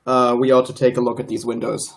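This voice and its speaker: Radio drama lawman, played by a man: medium pitch, easygoing small-town sheriff. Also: completely flat delivery, fast talker, a little hiss or hum, close to an everyday voice. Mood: casual. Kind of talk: radio play acting.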